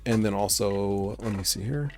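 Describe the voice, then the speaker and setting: A young man, raspy from just getting over being sick, chats casually and thinks aloud while working on his game on a live stream. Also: on the low side, normal speed, darkish tone. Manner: laid-back, rambling, thinking aloud. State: raspy voice, just recovering from illness. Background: faint background music and keyboard typing.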